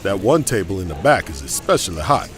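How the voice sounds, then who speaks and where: Casting: male actor for folksy sidekick barman's helper, lowish unhurried voice, wildly animated, vintage radio play. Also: soft background music. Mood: jovial. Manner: folksy, easygoing. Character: jovial, giggly, folksy speakeasy waiter, loyal sidekick. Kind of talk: 1920s old-time radio drama acting.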